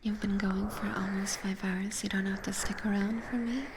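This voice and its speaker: A young woman streaming ASMR, whispering softly into a close microphone as she chats with viewers. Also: mid-range voice, level tone, moderate pace, background noise. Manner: soft whisper, reading and answering chat. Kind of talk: whispered ASMR livestream chat.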